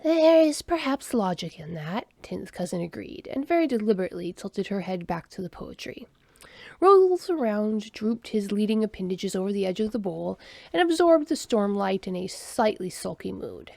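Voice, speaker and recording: A woman narrating a story in an expressive voice that swings widely in pitch. Her voice has a gravelly texture, and the recording is dry and close. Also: moderate pace, dry recording. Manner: expressive storyteller voicing every character. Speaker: audiobook narrator.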